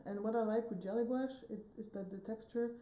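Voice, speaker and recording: A woman in her thirties from Switzerland talks through her painting process at home. Her voice is very dark and mellow. She rambles in a relaxed way, full of fillers and self-corrections, recorded on a phone camera at desk distance. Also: on the high side, fairly quick. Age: 30s. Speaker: painting vlogger. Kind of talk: casual tutorial chat. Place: home studio room, indoors, wooden shelves.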